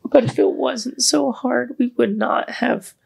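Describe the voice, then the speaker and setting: A woman hosting a podcast in casual, heartfelt chat. She is congested and her voice sits deeper than usual, and she talks openly and vulnerably, close to tears. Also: steady tone, a little slow, gravelly, a little mellow, breathy edge. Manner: open and vulnerable, near tears. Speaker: podcast host.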